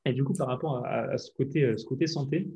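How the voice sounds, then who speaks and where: Host from France, mid-pitched and warm, chatty and loose, lo-fi recording. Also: male voice, fast talker. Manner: chatty, rambling questions with fillers. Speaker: podcast host. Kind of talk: casual podcast interview.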